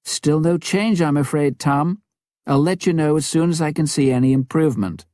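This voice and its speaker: A man narrator plays a female radio operator in a storybook. She is calm, helpful and apologetic, with a raised pitch and a dry sound. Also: moderate pace, dry recording, natural voice.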